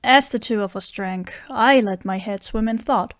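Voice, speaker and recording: A woman plays an earnest friend with a scheme, narrating an audio drama. The voice is fairly high and recorded close and dry, without room sound. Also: moderate pace, no room sound, lightly acted. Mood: reflective narration. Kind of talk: audio drama dialogue and first-person narration.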